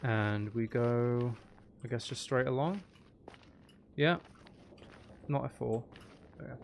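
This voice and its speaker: A man's voice: medium pitch, slow pace, gravelly. Man thinking aloud over gameplay, noisy background. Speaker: gaming video host. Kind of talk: casual gameplay commentary, talking to self.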